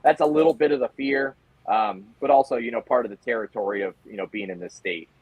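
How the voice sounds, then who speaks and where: Husky American man talking sports casually. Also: mid-range voice, fast, darkish tone, no room sound, poor audio. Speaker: sports reporter guest. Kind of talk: casual interview analysis.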